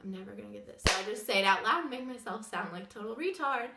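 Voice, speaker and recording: A woman's voice: mid-range voice, animated, fast talker, vocal fry, roomy sound, very noisy. A young woman with a sick, wheezy voice, chatting and rambling through a casual story time vlog. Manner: chatty, rambling with many fillers. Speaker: vlogger.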